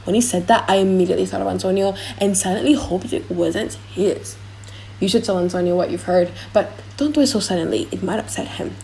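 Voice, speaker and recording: A woman playing a gossipy, excitable young man in conversational audiobook drama dialogue. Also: medium pitch, brisk, vocal fry, a little hiss or hum, lightly acted, poor audio.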